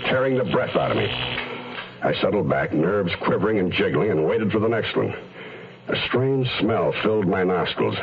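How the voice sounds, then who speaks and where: An actor playing a wisecracking tough private detective, terse and sardonic, in a radio crime drama. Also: male voice, deep voice. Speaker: lead actor and narrator. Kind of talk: hardboiled radio detective drama, first-person narration. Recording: muffled, noisy old radio drama recording.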